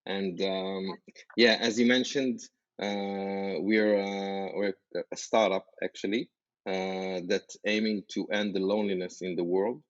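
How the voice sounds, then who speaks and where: An Israeli-accented man with a notably low voice speaks earnestly as a podcast guest, stopping and restarting his sentences and leaning on fillers. The audio is dry and a little muffled. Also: level tone, measured pace, no room sound. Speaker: startup founder, guest. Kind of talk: podcast interview.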